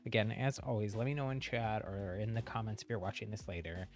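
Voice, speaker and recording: A man's voice: fairly low pitch, fairly quick. A man hosting a livestream, chatting casually to viewers in a rambling, giggly way. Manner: rambling, frequent giggles mid-sentence. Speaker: live streamer and channel host.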